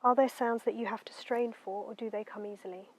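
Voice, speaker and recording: A woman guiding a meditation in a gentle, unhurried, soothing way, letting her phrases end softly. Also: fairly high pitch, fairly even intonation, no echo. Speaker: meditation guide. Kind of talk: guided meditation narration.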